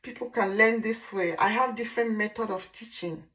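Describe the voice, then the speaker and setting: A Nigerian woman whose first language is Igbo, speaking English with a Nigerian accent as a language teacher in a casual vlog, drilling words slowly and repeating them. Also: medium pitch, fairly bright tone. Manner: repetitive drilling, slow deliberate pronunciation.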